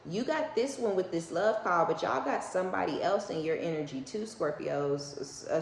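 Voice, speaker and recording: A woman with a low voice and an American accent hosts an informal tarot reading. She is blunt and sassy, leans on slang and swearing, and tags her points with little questions. The room is echoey, with faint noise behind her. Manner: often seeks agreement with short tag questions. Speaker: tarot reader, online host. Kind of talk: casual, unscripted tarot reading addressed to viewers.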